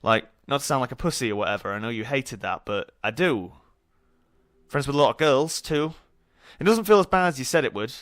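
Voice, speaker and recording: A man's voice: medium pitch, lively intonation, a little fast, fairly bright tone, dry recording, studio quality, close to an everyday voice. A young man playing an awkward, grieving student in a naturalistic audio drama, hesitant and rambling with nervous jokes. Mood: awkward, tender. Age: young adult.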